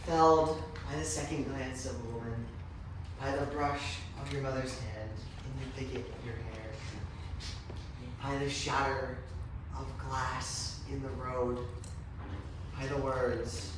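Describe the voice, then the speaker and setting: A man giving a solemn, measured dramatic recitation of literary prose on stage. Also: mid-range voice, flat delivery. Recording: echoey room, far mic, noisy.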